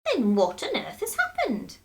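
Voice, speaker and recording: A frightened old wife from a storybook, acted out by a woman. Her voice leaps very high and swings widely as she gasps and cries out, gullible and panicky. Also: wildly swooping pitch, measured pace, exaggerated voice. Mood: alarmed. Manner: gasping, crying out in panic.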